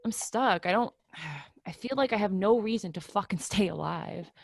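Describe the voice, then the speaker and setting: A woman's voice: medium pitch, expressive, normal speed, vocal fry, husky, no echo. A woman reading the part of a jaded, despairing young woman in a play, blunt and weary, swearing freely. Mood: bitter, defeated. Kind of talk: play reading over video call.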